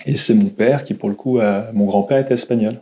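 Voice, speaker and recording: A man's voice: on the low side, brisk, a little mellow. A man in his thirties, born in Canada, telling his life story as a podcast guest, hesitant and full of fillers. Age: 30s. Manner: hesitant, many fillers and restarts. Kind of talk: casual conversation. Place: old château room.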